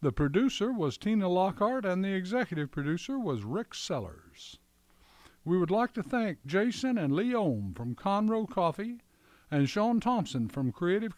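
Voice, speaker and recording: A male radio announcer delivers a scripted read. His fairly high voice moves widely in pitch, and the sound is dry and close. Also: darkish tone, no room sound. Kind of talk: scripted credits and sponsor announcement.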